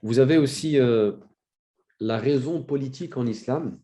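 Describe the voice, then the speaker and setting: A man with a cold giving a spoken lecture, telling a story with many fillers and restarts and rising in pitch for emphasis. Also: normal speed, warm tone. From France. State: has a cold. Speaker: lecturer on religious history.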